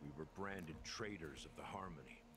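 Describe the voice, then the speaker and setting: A man voicing a weary, cynical middle-aged officer in a video game, telling his story in a slow, measured way. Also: rumbling low. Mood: weary regret. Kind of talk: scripted video game dialogue. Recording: music behind game dialogue.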